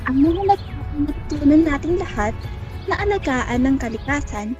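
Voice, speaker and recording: A woman's voice: high-pitched, animated, normal speed, a little mellow, lo-fi sound, soft background music. A woman playing an eager, hopeful young villager in an amateur radio drama, with a Filipino accent.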